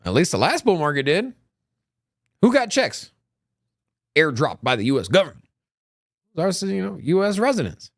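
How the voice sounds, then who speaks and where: Animated man with a lively high voice. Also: moderate pace, long pauses, no echo, clean studio sound. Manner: animated, rambling, many restarts. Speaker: co-host of a crypto news show. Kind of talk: casual live-stream chat.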